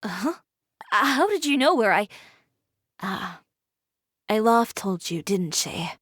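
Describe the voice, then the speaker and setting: A woman playing a proud, guarded fashion designer in a video game, husky, cool and curt. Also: mid-range voice, very animated, slow, fairly bright tone, dry recording, studio quality, heavily acted. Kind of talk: video game character acting.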